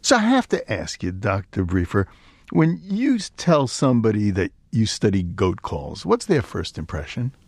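Radio host, male, New York accent; very animated delivery, dry studio sound. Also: fairly low pitch, normal speed, slightly rough, dry recording. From Brooklyn, New York. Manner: curious, playful questioning with a widely swinging voice. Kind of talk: public radio interview. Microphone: studio microphone.

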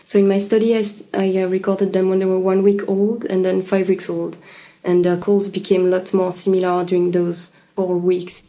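Female scientist guest; mellow, even-toned, hesitant phrasing. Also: medium pitch, normal speed. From Switzerland. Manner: thoughtful, hesitant, many ums and uhs. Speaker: biologist guest. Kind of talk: interview answers.

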